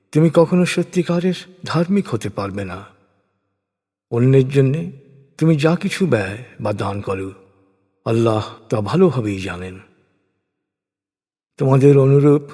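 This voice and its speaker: A man reading a scripture translation as a solemn, formal scripted narration, with measured and deliberate phrasing. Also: a little high, mellow, slightly rough. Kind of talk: solemn scripted religious recitation in prose. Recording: long hall-like reverb.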